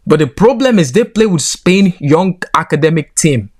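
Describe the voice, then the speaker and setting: A man's voice: a little high, sing-song, moderate pace. Indignant Nigerian man ranting. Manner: indignant, rising into emphatic rants. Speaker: football commentator. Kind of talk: reaction commentary.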